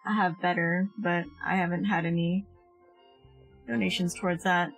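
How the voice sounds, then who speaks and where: A woman's voice: lowish voice, level tone, measured pace, highs cut off. A young woman streaming a game, talking casually in a wry, informal, sweary way. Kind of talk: casual gameplay chat. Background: faint game music.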